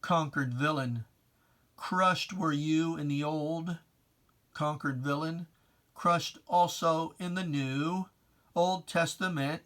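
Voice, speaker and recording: A reader delivers religious poetry as a theatrical recitation. The pace is very measured, with weighty pauses between short phrases. The pitch sits in a middle register, and the address is solemn, stern and taunting. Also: pushed and bright. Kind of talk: dramatic recitation of religious rhyming poem.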